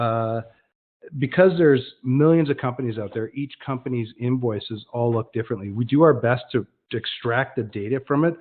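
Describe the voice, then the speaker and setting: Lo-fi, muffled webinar audio carries a man with a mid-range voice. He presents informally, explaining things in a conversational way and often correcting himself as he goes. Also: mid-range voice. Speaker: presenter of an online product demonstration. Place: online web conference. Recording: dull, lo-fi online webinar audio.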